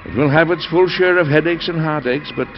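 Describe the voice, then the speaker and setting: An actor playing a genial middle-aged American president and husband in an old-time radio drama, speaking formally with a hearty, deliberate manner. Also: male voice, on the high side, faint music behind, lightly acted. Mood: jovial, affectionate. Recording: dull, noisy old radio recording.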